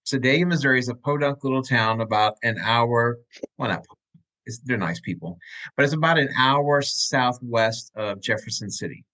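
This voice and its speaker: A man's voice: mid-range voice, normal speed, pushed and bright, low-quality recording. A man hosting a casual podcast over a video call, telling joking, rambling stories. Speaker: podcast host. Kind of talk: casual chat. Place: room at home.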